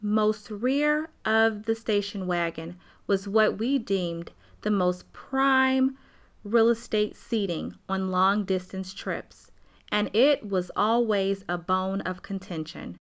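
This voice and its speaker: A woman's voice: mid-range voice, slow pace, close to an everyday voice. A woman playing a grown-up narrator who fondly and wryly recalls childhood in a scripted radio play. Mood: nostalgic.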